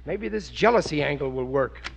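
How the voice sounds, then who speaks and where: An actor playing a blustering, dim, lovesick middle-aged working-class husband in 1940s radio sitcom style, with folksy ungrammatical speech. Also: male voice, on the high side, animated, moderate pace, creaky, slight background noise. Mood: baffled, pleading.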